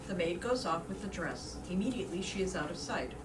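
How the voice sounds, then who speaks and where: A woman's voice: fairly low pitch, animated, brisk. A woman reading stage directions as a scripted narrator for reader's theater. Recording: roomy, distant mic, clearly noisy.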